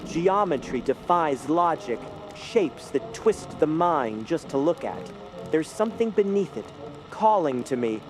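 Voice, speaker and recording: Male actor as eccentric brooding sculptor, higher-pitched, slow theatrical pacing, haughty, radio play. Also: animated. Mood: haunted, entranced. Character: eccentric, haughty young sculptor haunted by eerie dreams. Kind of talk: radio drama acting. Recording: loud music behind the voice. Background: music.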